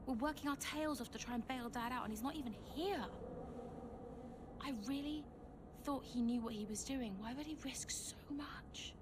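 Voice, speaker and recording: Actress as a blunt young racing driver. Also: female voice, high voice, normal speed, noisy background, close to an everyday voice. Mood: exasperated. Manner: blunt, challenging. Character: headstrong, guarded young female racing driver. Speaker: actor in a game cutscene. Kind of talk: naturalistic dramatic dialogue.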